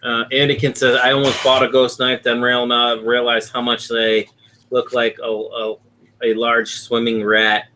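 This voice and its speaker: Male stream host, bright tone, rambling, filler-heavy chat reader. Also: medium pitch, level tone, measured pace, low-quality recording. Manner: rambling, reads comments aloud with fillers. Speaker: livestream host reading viewer chat. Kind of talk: casual live-stream chat. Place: home in Florida.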